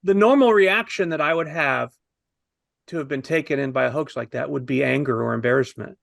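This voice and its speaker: A man's voice: on the high side, brisk, vocal fry, no room sound. A man in his fifties casually discussing a film with admiring enthusiasm, as a review panelist on a video call.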